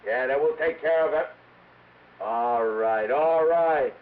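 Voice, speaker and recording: An actor playing a violent, swaggering crook with tough, slangy talk in a 1950s hardboiled radio drama. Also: male voice, medium pitch, takes it slowly, close to an everyday voice. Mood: agitated, cocky. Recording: tinny, noisy old radio recording.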